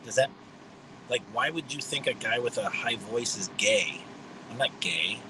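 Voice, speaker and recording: A man in his fifties with a high voice, hosting a rambling livestream monologue in a blunt, mocking way. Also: animated, a little slow, noisy background. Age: 50s. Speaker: livestream host.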